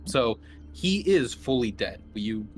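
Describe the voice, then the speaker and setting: A man's voice: medium pitch, very animated, slow pace, low-quality recording. A man narrating a tabletop horror game as game master, conversational and descriptive. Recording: noticeable background noise.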